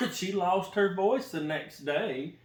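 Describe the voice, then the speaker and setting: A man's voice: high-pitched, animated, drawn-out words, echoey room. A man talking casually with his wife about faith and marriage, earnest and preachy, often repeating himself. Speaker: co-host, husband.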